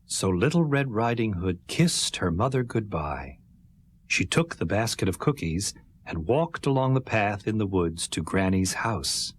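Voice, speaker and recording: A man with a husky voice narrating a scripted children's story in a rhythmic way. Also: fairly low pitch, very animated, a little slow. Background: faint music.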